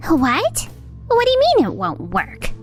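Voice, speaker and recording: A woman's voice: sits high, wildly swooping pitch, measured pace, faint music behind, exaggerated voice. A woman voicing a pushy, demanding young woman, a CEO's spoiled daughter, in a manga dub. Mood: alarmed. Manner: insistent, demanding.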